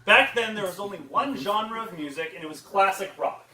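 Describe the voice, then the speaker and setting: A man's voice: high voice, expressive, normal speed, sharp tone. A man giving a casual, profane comic slide lecture as a convention panelist, sounding mock-exasperated. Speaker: comedy panelist at a fan convention. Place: indoor convention panel room. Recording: echoey room, distant from microphone.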